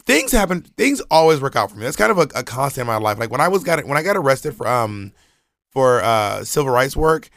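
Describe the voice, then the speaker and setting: A man's voice: mid-range voice, sing-song, a little fast, fairly bright tone, dry recording, studio quality. A man, chatting casually on a podcast and telling loose, rambling stories with slang. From Georgia, US. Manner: loose, rambling storytelling with frequent restarts and slang. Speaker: podcast co-host.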